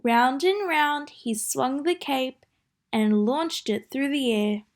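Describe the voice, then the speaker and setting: A woman reading a children's storybook aloud with a deliberate, sing-song rhyming delivery. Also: sits high. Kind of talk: read-aloud storybook narration.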